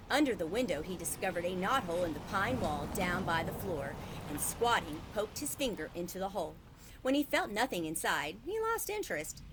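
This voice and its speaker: A woman's voice: lowish voice, slight background noise. A woman reading a children's book aloud expressively as a storyteller.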